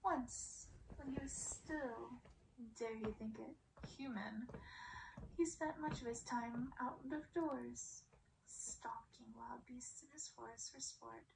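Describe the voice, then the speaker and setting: A woman reading a story as ASMR in a hushed, deliberate way, often slipping into a soft whisper. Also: fairly high pitch, noisy background. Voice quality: soft, breathy whisper at times. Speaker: ASMR reader.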